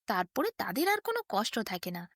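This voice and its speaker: A woman's voice: medium pitch, huge pitch swings, a little fast, a bit bright, breathy edge, no echo, clean studio sound. A woman narrating a bedtime fairy tale in a sing-song storytelling manner. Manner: sing-song storytelling, quoting figures in her own voice.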